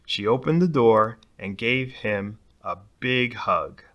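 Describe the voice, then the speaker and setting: A man's voice: lowish voice, expressive, slow pace, fairly bright tone, muffled recording. A man reading a children's picture book aloud expressively, putting dramatic emphasis on key words. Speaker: storybook reader.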